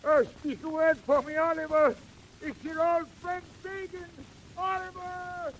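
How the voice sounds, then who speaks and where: An actor playing an old criminal, wheedling and pleading in a theatrical 1940s audio drama style. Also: male voice, very high voice, little pitch movement, measured pace, fairly bright tone, rough recording. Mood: desperate pleading. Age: older. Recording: hissy vintage 1940s record.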